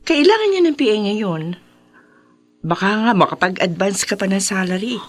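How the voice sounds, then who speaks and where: Mapanghikayat na assistant, pabago-bago ang tono. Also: female voice, medium pitch, very animated, moderate pace, no room sound, faint music behind. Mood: coaxing, casual. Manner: smooth-talking, coaxing, mixes in English. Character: persuasive, obliging female recruiter, loyal to her boss. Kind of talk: radio drama acting.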